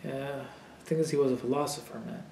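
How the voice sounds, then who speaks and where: A man's voice: medium pitch, fairly even intonation, quick pace, gravelly, dark tone. A young Palestinian man answering interview questions in frank casual talk, with many fillers and an occasional curse. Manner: frank, swears when riled, many fillers. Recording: echoey room, faint ambient noise.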